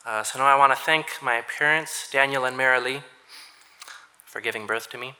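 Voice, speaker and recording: A young man from Minnesota giving an earnest graduation speech to his church, with dry understated humor and frequent throat-clearing. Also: mid-range voice, normal speed, background noise. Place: church hall with audience.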